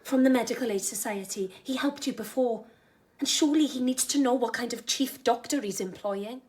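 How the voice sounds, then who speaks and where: A woman's voice: fairly high pitch, a little fast, a little mellow, natural voice. A woman playing a practical, supportive confidante in a radio drama.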